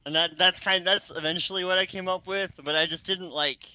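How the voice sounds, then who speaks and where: A man chatting casually and excitedly, rambling and stammering as he goes and often breaking into laughter. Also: sits high, fairly quick, highs cut off, slight background noise. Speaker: co-host of a fan fiction readalong. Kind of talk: casual enthusiastic group chat.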